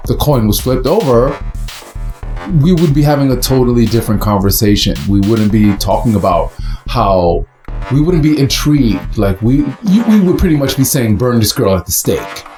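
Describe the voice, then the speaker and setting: A man's voice: mid-range voice, animated, moderate pace, a little mellow, soft background music. A man from the United States giving casual, rambling commentary with many fillers and restarts. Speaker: host.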